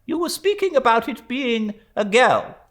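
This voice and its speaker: A man's voice: extremely high pitch, big pitch swings, moderate pace, a bit bright, pitched above the performer's own voice, exaggerated voice. A man voicing a stern, domineering elderly aunt in a storybook reading, with a commanding, clipped and indignant manner. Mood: imperious.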